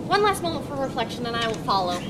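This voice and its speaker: A woman's voice: high voice, sing-song, fast talker. A young woman playing a doubting, reflective young male writer in an amateur stage reading, with a wistful, searching delivery. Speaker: homeschool drama performer. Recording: outdoor recording, loud traffic noise.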